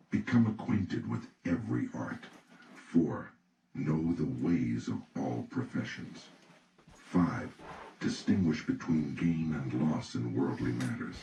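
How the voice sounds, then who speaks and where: A man reading an audiobook from a script in a solemn, deliberate, instructional way. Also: rumbling low, creaky, dark tone. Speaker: audiobook reader. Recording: roomy, distant mic, faint noise.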